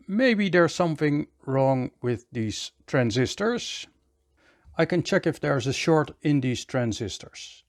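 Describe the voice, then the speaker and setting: Male repair-tutorial host, methodical, gravelly fry in a slightly higher voice. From Netherlands. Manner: methodical, explains step by step. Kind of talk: hands-on repair tutorial commentary.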